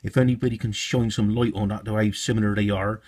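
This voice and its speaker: A man's voice: fairly low pitch, steady tone, fast, a little mellow, husky. A man giving an informal, unscripted product walkthrough in a hesitant, explanatory way, like a hobbyist presenter.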